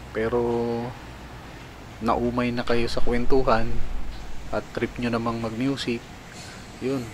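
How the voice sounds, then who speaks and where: A man's voice: medium pitch, background noise. A man with a Filipino accent narrating a casual product demo as a motorcycle vlogger, informal and explanatory. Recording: music playing behind the voice. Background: loud music.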